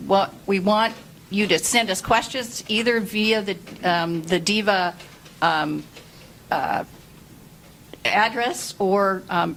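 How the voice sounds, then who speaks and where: A woman's voice: medium pitch, gravelly, a bit bright, slight background noise, rough recording. Upbeat woman running a group meeting. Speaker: meeting organizer. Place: meeting room.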